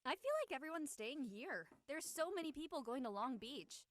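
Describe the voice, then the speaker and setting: A woman's voice: high voice, expressive, a little fast. A woman playing a bubbly, affectionate, feisty young friend in casual scripted dialogue.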